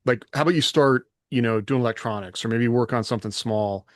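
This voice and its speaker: A man's voice: mid-range voice, a little fast, dry recording, studio quality. A middle-aged man talking casually as a podcast host in a reflective, loosely rambling way.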